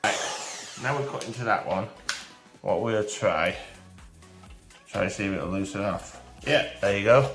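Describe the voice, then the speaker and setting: Hoarse low-voiced man. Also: unhurried, long pauses. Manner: relaxed, chatty, talks through each step. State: hoarse from a cold. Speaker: channel host. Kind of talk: casual hands-on repair commentary. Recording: roomy echo with faint music.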